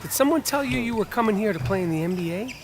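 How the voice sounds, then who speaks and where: Pelatih basket Amerika bersuara tinggi dan lincah. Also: male voice, high voice, expressive, music in the background. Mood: brisk. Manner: brisk, clipped, businesslike. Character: brisk, animated male pro basketball coach. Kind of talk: naturalistic video game cutscene acting. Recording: game audio with clear background noise.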